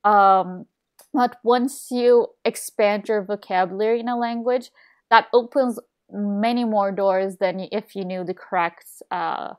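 A young woman from Turkey talks casually on a game stream from a small room at home, close to a desk mic. She rambles, restarts her sentences and fills the gaps with "um" and "like" at a middling pitch. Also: a little slow, clear, resonant tone. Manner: rambling, many fillers and restarts. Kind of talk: casual chat while gaming.

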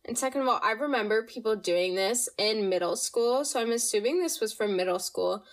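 A woman's voice: fairly high pitch, brisk. A young woman hosting a podcast, chatting casually and reading listener stories, her voice slightly nasal from allergies. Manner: chatty, animated, reads listener stories aloud. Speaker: podcast host. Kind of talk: casual storytime chat.

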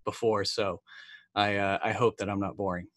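A man's voice: lowish voice, level tone, normal speed, a bit bright, dry recording, studio quality. An American man in his forties chatting casually on a livestream in an easygoing, self-deprecating way. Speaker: player and web show co-host. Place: room indoors, on a video call.

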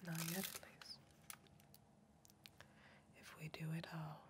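A young woman playing a weary, defiant member of a backwoods crime family in an ASMR roleplay, in a hushed, halting breathy whisper. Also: deep voice, little pitch movement. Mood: cautious. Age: young adult. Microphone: close ASMR microphone.

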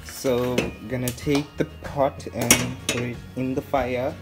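Young man narrating cooking, loud music behind. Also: medium pitch, little pitch movement, slow. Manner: instructional, often checking with "right?". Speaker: cooking vlog host. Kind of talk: casual step-by-step cooking narration. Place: home kitchen, indoors.

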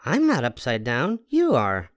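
A man voicing an innocent, earnest, excitable young female bat in a storybook. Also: medium pitch, unhurried, dry recording, clean studio sound, voice pushed up. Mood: puzzled, sincere. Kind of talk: storybook character voice.

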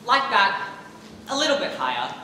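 A young man on stage playing an earnest, encouraging male drama teacher in a high school play, recorded from the audience. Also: very animated, normal speed, full character voice. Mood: directing the group. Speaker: high school student actor. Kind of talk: amateur stage play acting. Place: theater stage in large auditorium. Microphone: far camera in audience. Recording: echoey auditorium, distant camera, loud room noise.

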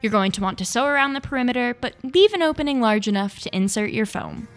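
Craft tutorial host: young female, fairly high pitch, scripted instructional read over background music. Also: expressive, fast talker. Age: young adult.

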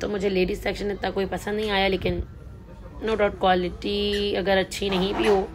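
A woman's voice: mid-range voice, flat delivery, normal speed, noisy background. Chatty woman touring a supermarket by phone. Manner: chatty, mixes English words and prices into her talk. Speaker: vlogger. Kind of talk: casual shopping vlog narration. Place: large supermarket, indoors.

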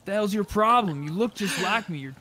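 A man improvising in character over game voice chat, blunt and quick to swear. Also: high voice, animated, pushed and bright, slight background noise. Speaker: online roleplay game player.

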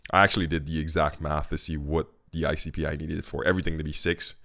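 A man in his thirties from Haiti talks straight to camera in a relaxed, candid vlog, with plenty of uhs along the way. His voice sits very low, with a gravelly edge of vocal fry. He records at home in his kitchen, close on a stand mic. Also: quick pace. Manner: conversational and candid, with frequent fillers. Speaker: vlogger. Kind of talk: casual talking-head vlog. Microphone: studio mic on a stand in front of him.

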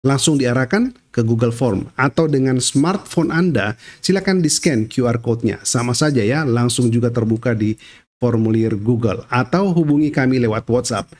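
A man's voice: mid-range voice, animated, moderate pace, darkish tone. Middle-aged man hosting. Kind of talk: presenter announcements.

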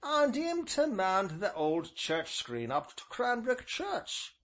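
A wizened, gossipy old countryman, as a male audiobook narrator puts him on, pitched far above the reader's own voice. He drawls and quavers in a thick rural southern English dialect, swooping up and down as he spins his tales. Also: wildly swooping pitch, a little slow, a bit bright, exaggerated voice. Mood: wistful, musing.

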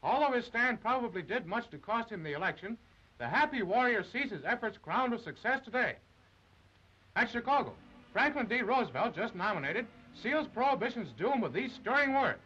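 High, forceful 1930s newsreel man. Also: sing-song, normal speed, slight background noise. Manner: emphatic, declamatory. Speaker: newsreel narrator. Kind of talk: dramatic 1930s newsreel narration. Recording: dull vintage 1930s film soundtrack.

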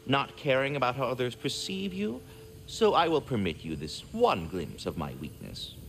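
A man's voice: mid-range voice, sing-song, moderate pace, fairly bright tone, slight background noise. A man voicing a polite magical squirrel in a video game, with formal, florid, theatrical diction. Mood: courteous, candid.

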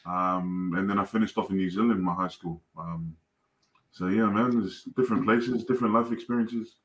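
A man in his thirties from Tonga with a mixed Pacific Island and Australian accent, telling his life story as a podcast guest in a relaxed, laughing way over a video call. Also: fairly low pitch, normal speed, rough recording. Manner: laid-back storytelling with frequent laughter. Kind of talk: casual chat. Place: home room.

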